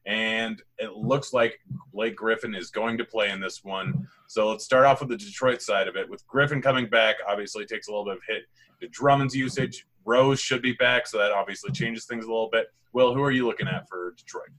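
A man hosting a fantasy sports podcast, talking upbeat and fast through sponsor reads and game picks. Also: lowish voice, fairly even intonation, a bit bright, low-quality recording. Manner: upbeat, rapid-fire sponsor read and game breakdown. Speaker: podcast host. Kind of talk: fantasy basketball podcast chat.

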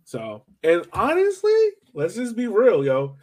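A young man with a slightly high voice hosts a sports podcast. He talks casually, with loose slang, as if chatting with a buddy. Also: huge pitch swings, a little slow. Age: young adult. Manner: informal, slangy. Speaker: podcast host.

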